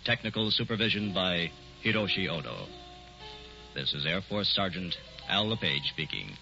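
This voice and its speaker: A man with a low, gravelly voice formally reads the closing credits of an old broadcast. His pitch rises and falls a lot, the recording sounds dull, and music runs beneath him. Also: moderate pace. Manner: formal, even-paced announcing. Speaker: armed forces radio announcer.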